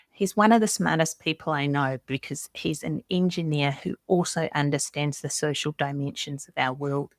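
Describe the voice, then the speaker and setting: A woman's voice: low-pitched, dry recording, studio quality. A middle-aged woman with a New Zealand accent, hosting a podcast interview in a friendly, chatty, informal way. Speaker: podcast host.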